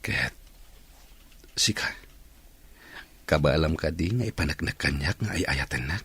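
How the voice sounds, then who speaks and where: A man playing a smooth-talking suitor in an Ilocano radio drama, with an Ilocano accent. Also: extremely low pitch, animated, measured pace, a bit bright, exaggerated voice. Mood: coaxing. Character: smooth-talking male suitor courting a young woman.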